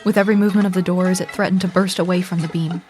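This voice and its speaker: A frightened young woman on the run , acted by a woman, narrates a thriller audio drama. Her voice sits on the low side, hushed and measured with tension in it. Music plays underneath. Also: steady tone, close to an everyday voice. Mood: tense, grave. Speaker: narrator and lead character.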